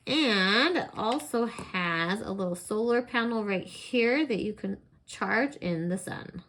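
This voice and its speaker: A woman's voice: medium pitch, expressive, slow pace, a bit bright, a little hiss or hum. A woman giving a casual product review, explaining things plainly step by step.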